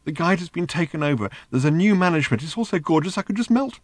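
A man's voice: on the high side, lively intonation, fast talker, no room sound, voice pushed up. A man voicing a small male security robot that gushes with servile, ecstatic delight in a squealing voice. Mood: gushing. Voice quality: squealing, gushing.

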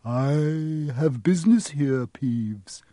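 A man voicing a boy who poses as a stern ghost baron in an audiobook, in a hoarse, croaking whisper. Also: on the high side, expressive, very slow, mellow, no room sound, studio quality. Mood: stern, commanding.

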